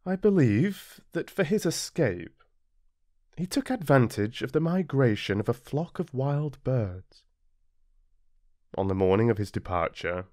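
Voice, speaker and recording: A man's voice: lowish voice, no echo, studio quality. A British man narrating a children's storybook expressively, as for an audiobook. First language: English.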